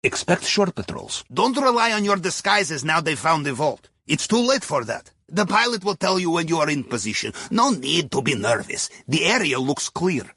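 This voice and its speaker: A man's voice: a little high, very animated, moderate pace, hardly any pauses, bright, forceful tone, breathy edge, no room sound, clean studio sound, full character voice. A man playing a jovial, wry Russian submarine captain in a video game, with a Russian accent and a playful, theatrical way of guiding his crew. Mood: wry, instructive. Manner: playful, theatrical, drops articles, tags sentences with questioning "yes?" or "huh?". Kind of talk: video game mission dialogue over comms.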